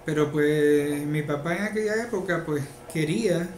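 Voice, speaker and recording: A middle-aged man with a Puerto Rican accent, chatting haltingly as an interview guest. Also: a little high, darkish tone. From Puerto Rico and the mainland United States. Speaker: interview guest, luthier and musician. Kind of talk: casual interview talk. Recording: echoey room, faint background noise.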